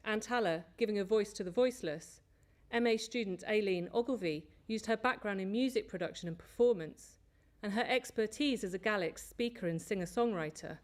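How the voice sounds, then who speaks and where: A woman presenting at an academic conference, reading from prepared notes in a measured way with small slips. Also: mid-range voice. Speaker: conference speaker, lecturer. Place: lecture hall.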